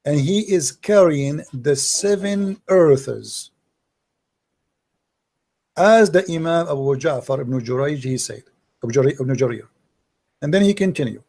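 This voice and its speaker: A husky, rather high-voiced man speaks as a debate host. His tone is dark and gravelly, and he teaches in a didactic way, posing leading questions as he reads from texts. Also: animated, a little slow, pauses often. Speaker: host debating religion with a caller. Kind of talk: live argumentative teaching, reading and commenting on texts.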